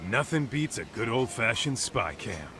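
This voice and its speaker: An actor playing a brash, streetwise detective sidekick in a video game, blunt, slangy and wisecracking. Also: male voice, medium pitch, sing-song, moderate pace, background noise. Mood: wry. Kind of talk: video game cutscene acting.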